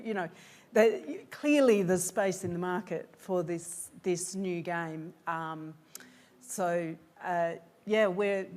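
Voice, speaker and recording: A woman answering questions from a judging panel about her venture, speaking with many fillers and restarts. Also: on the low side. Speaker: pitching founder. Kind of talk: pitch Q&A answers.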